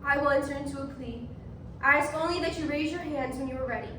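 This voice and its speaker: An actress plays a defiant woman on trial for witchcraft, her voice pitched very high. She presses her accusers with deliberate, theatrical questions. The sound is distant and noisy, ringing in an echoey room. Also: a bit bright. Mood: firm, defiant. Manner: emphatic, challenging, deliberate rhetorical questioning. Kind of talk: competitive stage readers theatre.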